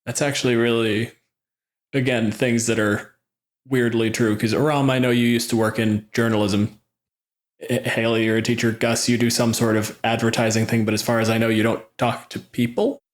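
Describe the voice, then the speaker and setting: A man's voice: mid-range voice, flat delivery, moderate pace. A man chatting casually as a podcast co-host, wry and given to casual swearing. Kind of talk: casual podcast panel chat.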